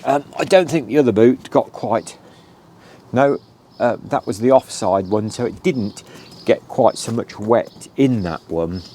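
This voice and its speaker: A man's voice: mid-range voice, measured pace, warm tone, slight background noise. A middle-aged British man with a stuffed-up nose from hay fever, giving a rambling casual how-to talk with many "um"s, recorded outdoors. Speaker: vlogger.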